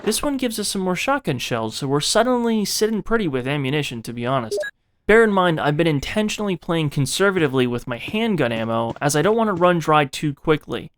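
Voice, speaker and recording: A man's voice: a little high, very animated, fairly quick, a little hiss or hum. A man narrating a video game playthrough in a dramatized, scripted way, with casual, profane, jokey asides to the viewer. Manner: theatrical, self-interrupting asides to the viewer.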